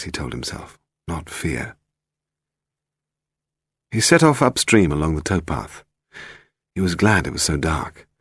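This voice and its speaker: Male audiobook narrator, British, expressive scripted storytelling, creaky low voice, close dry sound. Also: dry recording.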